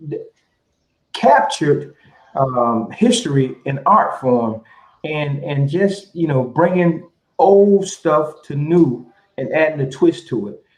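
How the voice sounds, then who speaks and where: A man hosting an online panel, bringing on guests with encouraging, repetitive words. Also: a little high. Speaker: host. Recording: roomy, muffled audio.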